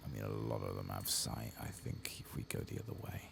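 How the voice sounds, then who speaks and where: A soft-spoken man murmuring ASMR-style commentary to himself while playing a game. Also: a bass voice, flat delivery, fairly quick, vocal fry. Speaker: gaming streamer. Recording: heavy background noise.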